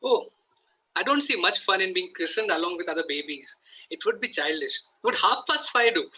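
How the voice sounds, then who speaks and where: A man playing an evasive, easily exasperated Victorian country gentleman in a play read-through, polite but fussy. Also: high voice, lively intonation, normal speed, breathy edge, exaggerated voice, low-quality recording. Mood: offhand. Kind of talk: amateur play table read.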